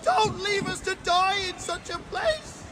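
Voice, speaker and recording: A man's voice: very high-pitched, sing-song, a little slow, crowd noise, exaggerated voice. A man playing a marooned mutineer, wailing and pleading desperately in a sea drama.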